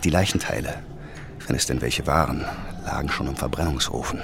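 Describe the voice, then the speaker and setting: An actor playing a gruff, commanding police inspector in a German radio drama, speaking in a terse, sardonic way. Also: male voice, very deep voice, fairly quick, noisy background. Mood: low, grim. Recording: music bed behind the voice.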